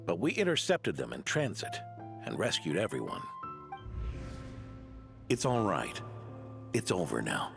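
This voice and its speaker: An actor playing a weary, self-critical older knight in a video game, with dramatic delivery over music. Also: male voice, huge pitch swings, measured pace, pauses often, heavily acted. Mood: grave. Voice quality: gravelly, low. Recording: music under the dialogue.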